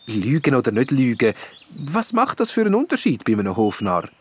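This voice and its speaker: A man's voice: medium pitch, huge pitch swings, fairly quick, slightly rough, voice pushed up. A man voicing a cheeky young court jester who jokes and dodges questions, in a children's audio story. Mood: sly. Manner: light, joking, sly.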